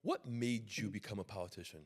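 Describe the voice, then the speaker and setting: Slightly low-voiced male podcast host. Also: a little fast, loud music behind the voice. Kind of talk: casual interview chat.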